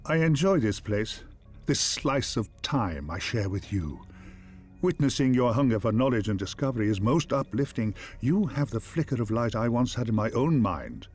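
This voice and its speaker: A man playing an ancient, wistful ascended machine mind, speaking in a grandiose, measured and philosophical way. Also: medium pitch, sing-song, a little mellow. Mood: warm, uplifted. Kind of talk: theatrical scripted game voice acting.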